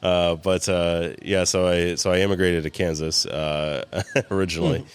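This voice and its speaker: A man's voice: low voice, little pitch movement, moderate pace, smooth. A man from California, a guest in a casual interview, rambling with lots of fillers and restarts and chuckling often. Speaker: guest, cigar company co-founder.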